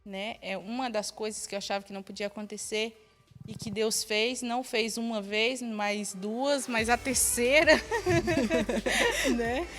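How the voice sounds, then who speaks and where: Higher-pitched Brazilian woman in her thirties. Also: a little slow, a bit bright, faint music behind. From northern Brazil. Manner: chuckles often while talking. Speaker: interview guest. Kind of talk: casual personal storytelling.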